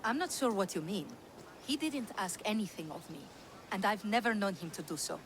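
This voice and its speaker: A woman plays a weary, guarded runaway wife in a video game cutscene. Her mid-pitched voice is composed and earnest. There is faint ambient noise behind it. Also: expressive, fairly quick, fairly bright tone, natural voice, poor audio. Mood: grateful, earnest.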